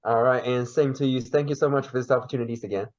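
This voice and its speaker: A man's voice: mid-range voice, fast, dull audio. Man with a Mandarin Chinese accent. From China. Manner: lively, searching for words. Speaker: podcast guest. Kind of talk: casual interview chat.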